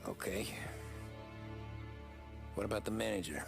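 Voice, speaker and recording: A man's voice: lowish voice, a little slow, gravelly, over music. An actor playing a tough, terse American mafia henchman in a dramatic video game scene. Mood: terse, serious.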